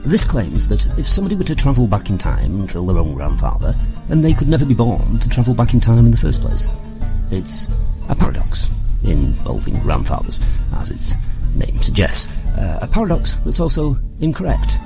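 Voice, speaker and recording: A man's voice: medium pitch, wildly swooping pitch, normal speed, over music. A man playing a mock-learned narrator, delivering pedantic, tongue-in-cheek scripted comic explanations. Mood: wry lecturing.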